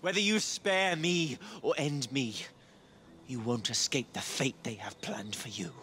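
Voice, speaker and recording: An actor playing a contemptuous, menacing British imperial villain in a game cutscene, sneering and theatrical. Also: male voice, medium pitch, measured pace, sharp tone, a little hiss or hum. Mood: bitter, defiant. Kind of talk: video game cutscene acting.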